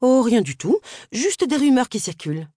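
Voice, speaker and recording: A woman voicing a flustered village woman who hints at rumors in a hushed, evasive way. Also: a little high, big pitch swings, moderate pace, dry recording, studio quality, pitched above the performer's own voice.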